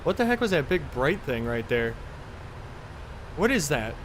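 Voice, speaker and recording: Casual man over steady hum. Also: on the high side, a little slow. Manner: rambling, offhand, mildly profane. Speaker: flight sim live streamer. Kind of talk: casual chat while playing a flight simulator.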